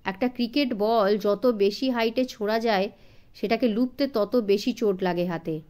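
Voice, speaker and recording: A woman's voice: mid-range voice, normal speed, a little mellow, natural voice. A woman voicing a clever, confident male detective who explains deductions to his younger brother in an audio story. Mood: instructive, assured. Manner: instructive, assured.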